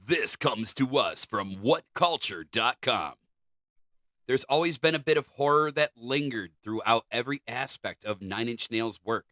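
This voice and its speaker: A man's voice: medium pitch, bright, forceful tone, no room sound, studio quality. A man co-hosting a podcast, reading articles aloud with casual banter. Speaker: podcast co-host.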